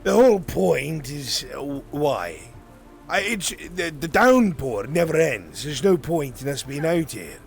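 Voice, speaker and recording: A man's voice: on the high side, sing-song, a little slow, vocal fry. A man improvising in character in a VR roleplay game, with a commanding, bantering way of talking. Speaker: roleplay player leading a group. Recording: rain and music behind the voice. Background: rain and music.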